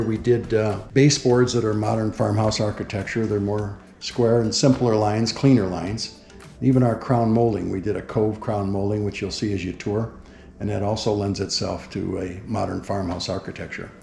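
A man with a fairly low, creaky voice gives an informative house tour. He talks plainly, like a builder going through features. The sound is echoey, with music playing underneath. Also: warm tone. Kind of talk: explanatory house tour narration. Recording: roomy indoor echo with music behind. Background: background music.

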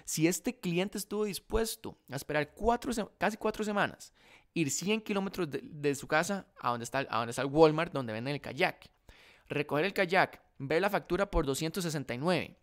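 A young man with a Costa Rican accent telling a story to camera in a casual vlog, animated and acting out both sides of the dialogue, close to a desk microphone in a room by a window. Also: fairly high pitch, moderate pace. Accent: Costa Rican Spanish. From Costa Rica. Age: young adult. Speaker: dropshipping vlogger. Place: indoor room by a large window. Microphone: desk condenser mic in front of face.

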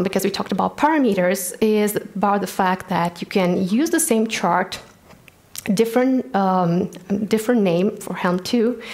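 A woman's voice: mid-range voice, a little mellow. Young romanian woman presenting at a tech conference, headset mic, lots of ums as she explains. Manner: earnest, explanatory, frequent ums and restarts. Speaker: conference speaker. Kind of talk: technical conference talk. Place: large conference hall stage.

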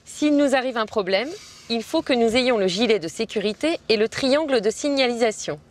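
Actress as cautious high-voiced young woman. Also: animated, normal speed, natural voice. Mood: cautious, insistent. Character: cautious, rule-minded young woman passenger. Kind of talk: scripted French lesson dialogue. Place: outdoors on a city street, by and in a car. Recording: faint street and vehicle noise.

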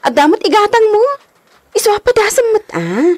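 A woman's voice: extremely high pitch, very animated, moderate pace, darkish tone. An actress playing a chatty, pushy woman friend selling makeup in a radio drama. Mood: playful, coaxing.

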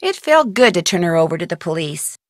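Young lady detective telling the story for a mystery game, calm n wry, dry studio sound. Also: on the low side, no room sound. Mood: composed, wry. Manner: clear measured storytelling, dryly wry. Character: young woman amateur detective, composed and wry. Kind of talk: scripted game narration.

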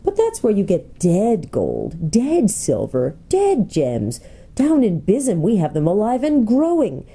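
A woman voicing a small, excitable male gnome from a storybook, courteous and deferential, speaking with eager wonder. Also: medium pitch, slow, breathy edge, voice pushed up, heavily acted. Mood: wondering, enticing.

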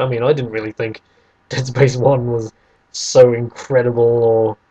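A man's voice: mid-range voice, dark tone, muffled recording. A young man hosting a casual podcast chat about games, teasing and sarcastic. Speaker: podcast host.

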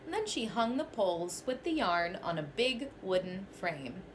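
Expressive young woman reading a picture book. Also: mid-range voice, a little slow, slight background noise. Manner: expressive storytelling, first person as the goat. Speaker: teacher. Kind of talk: children's picture book read-aloud. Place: small bedroom, indoors.

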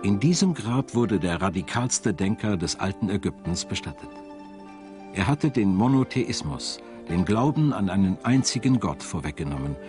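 A man's voice: low-pitched, sing-song, normal speed, over music. A man reading scripted narration for a documentary.

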